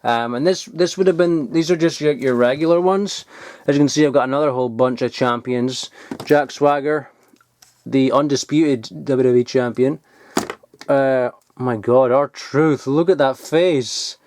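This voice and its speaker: A man, chatting casually and excitedly while digging through old toys, rambling and swearing now and then. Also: mid-range voice, measured pace, a little hiss or hum. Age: 20s. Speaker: toy collector vlogger. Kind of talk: casual unboxing chat.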